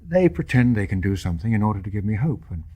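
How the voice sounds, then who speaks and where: An invalid husband in a British radio play, with a low, husky voice and upper-class Received Pronunciation. He speaks earnestly and devotedly, brave on the surface with despair beneath. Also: very animated, quick pace, mellow. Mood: tender, resigned. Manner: earnest, old-fashioned upper-class phrasing. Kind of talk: radio drama acting.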